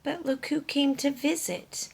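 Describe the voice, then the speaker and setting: A woman reading a picture book aloud to children, often hushed, with expressive, deliberate narration and questions for young listeners. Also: high-pitched, vocal fry.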